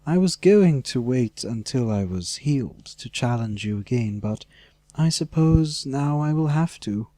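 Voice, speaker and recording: A man's voice: mid-range voice, animated, a little slow, dark tone, dry recording, lightly acted. A man voicing a haughty aristocratic dragon villain in a fantasy audiobook, mocking and nastily polite.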